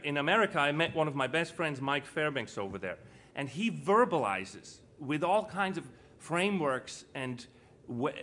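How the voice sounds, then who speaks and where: A man's voice: fairly high pitch, fairly bright tone. A middle-aged man from Switzerland giving an informal conference speech, earnest and emphatic, with rhetorical questions and repetition for stress. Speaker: panel speaker, entrepreneur and foundation co-founder. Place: indoor conference panel, live audience. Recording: live event room with clear background noise.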